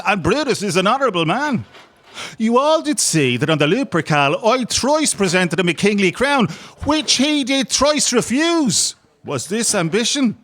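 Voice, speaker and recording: Man acting a young irish rebel lad, sardonic stagey type, voice swings all over, a bit high. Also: a bit bright. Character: restless, sardonic young Irish rebel soldier who acts. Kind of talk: audio drama acting.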